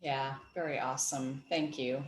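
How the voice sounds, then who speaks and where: A woman hosting a casual chat, praising her guests warmly and effusively. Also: extremely low pitch, fairly even intonation, normal speed, echoey room, a little hiss or hum. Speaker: host.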